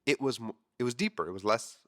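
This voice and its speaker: A man's voice: medium pitch, expressive, fast, fairly bright tone. A man in his thirties with a congested, nasal voice, hosting his podcast in a candid, rambling monologue that swears freely. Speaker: podcast host. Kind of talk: casual monologue.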